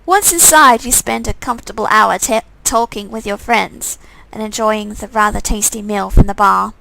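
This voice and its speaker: A woman's voice: mid-range voice, moderate pace, vocal fry, sharp tone, low-quality recording. A woman reading a visual novel aloud as a game streamer, adding playful, wry asides of her own. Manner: playful, wry side comments.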